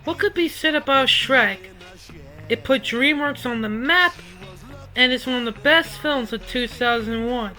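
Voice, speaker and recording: High-pitched, sweary woman reviewer over music. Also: measured pace, bright, forceful tone, poor audio. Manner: sweary, exasperated, prone to outbursts. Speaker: video game reviewer. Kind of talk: scripted review narration with ranting commentary.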